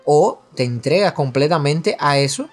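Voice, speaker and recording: A man's voice: fairly high pitch, animated, normal speed, faint music behind. A man co-hosting a religious discussion podcast, explaining doctrine in an earnest, teaching way and speeding up on asides. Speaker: podcast co-host.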